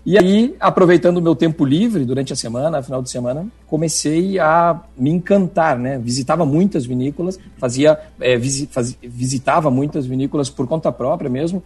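Gaucho guy, husky voice, friendly chatting with the host. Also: on the high side, a little fast, a little mellow, soft background music. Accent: Rio Grande do Sul Portuguese. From southern Brazil (Rio Grande do Sul). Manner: friendly, addresses the host by name, uses "tu". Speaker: guest, tech executive and wine student. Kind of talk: radio interview.

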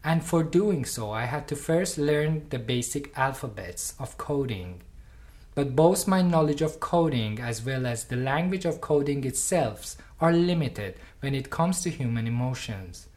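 A person from Iran speaks English in a steady, thoughtful lecture performance. Their is Farsi, and the delivery stays earnest and personal, at a middle pitch. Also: measured pace. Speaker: artist and doctoral researcher.

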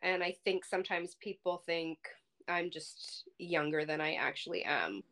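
A woman's voice: lowish voice, measured pace, a bit bright. A woman in her thirties with a young-sounding voice, chatting casually and playfully as a podcast co-host. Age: 30s. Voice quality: youthful-sounding. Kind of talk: casual chat.

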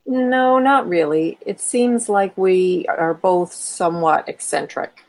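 A woman's voice: lowish voice, expressive, slow, a little mellow, dry recording. A woman playing a mischievous, eccentric woman chatting with a friend in an audio drama. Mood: curious. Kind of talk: amateur audio drama dialogue.

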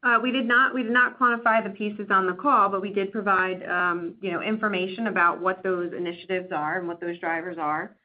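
A woman's voice: mid-range voice, steady tone, fairly quick, few pauses. A woman speaking as a company executive, answering earnings call questions with careful, hesitant corporate phrasing. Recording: tinny phone line, faint background noise.

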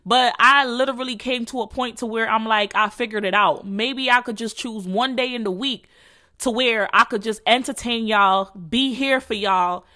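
Young Jamaican woman, solo podcast host, bright and forward voice, casual profane slang. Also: a little high, moderate pace. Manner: candid, profane, slangy and rambling. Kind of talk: casual solo chat to followers.

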